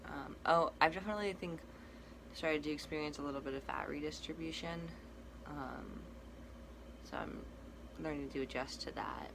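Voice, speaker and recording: A young man with a cracky, breaking voice, giving a casual personal update in a halting, soft-spoken way. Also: fairly high pitch, vocal fry. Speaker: vlogger. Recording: noticeable background noise.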